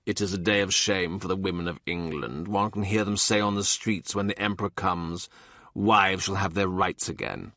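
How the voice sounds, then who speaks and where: A man's voice: deep voice, fairly even intonation, moderate pace, pushed and bright, dry recording, clean studio sound, pitched below the performer's own voice. A man voicing a stern, gloomy, devout middle-aged former statesman with a curt, dour manner in an audiobook. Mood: indignant.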